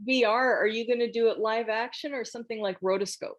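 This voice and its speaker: A woman's voice: on the high side, steady tone, fast talker. A woman hosting a casual group discussion over a video call, speaking conversationally. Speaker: host of an online table read meet-up.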